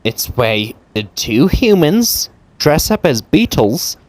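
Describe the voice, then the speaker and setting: A man's voice: on the high side, sing-song, slow pace, darkish tone, no room sound. A man giving casual, goofy game commentary, reading dialogue aloud and wryly talking back to the characters. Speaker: gaming video creator playing and commenting. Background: game music.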